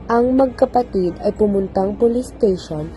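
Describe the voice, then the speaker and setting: A woman's voice: medium pitch, normal speed, mellow, poor audio. A woman reading scripted narration for a radio drama as its storyteller. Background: music.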